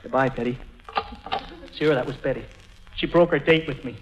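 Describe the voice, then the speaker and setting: A man's voice: medium pitch, brisk, very noisy, close to an everyday voice. An actor playing a naive, lovelorn young man with a boyish, earnest manner in an old radio comedy. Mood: dejected. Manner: boyish, sheepish, animated. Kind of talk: 1940s radio comedy acting. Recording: muffled old radio broadcast.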